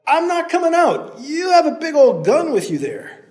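A man voicing a wry adult male traveler who is stalling and shouting back nervously. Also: very high-pitched, wildly swooping pitch, normal speed, creaky, pitched above the performer's own voice, exaggerated voice. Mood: sheepish.

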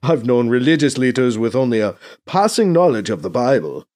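A man voicing an exasperated, cautious church leader in an audiobook, earnest and gently challenging. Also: mid-range voice, sing-song, brisk, pitched above the performer's own voice, close to an everyday voice.

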